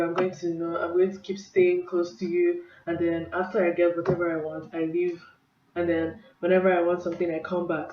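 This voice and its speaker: A woman's voice: lowish voice, slight background noise. A young woman from Nigeria sharing a personal faith story in an earnest, casual vlog, full of "like" and "um". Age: young adult. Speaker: vlogger. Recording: roomy, distant, slightly dull sound.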